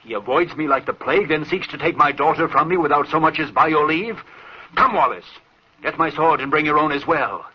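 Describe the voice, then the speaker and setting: A man's voice: fairly high pitch, fairly quick, breathy edge. An actor playing a proud, hot-tempered Scottish clan lord and father in a declamatory old radio horror drama. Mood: furious, indignant. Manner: blustering, declamatory. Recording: muffled, hissy old radio broadcast.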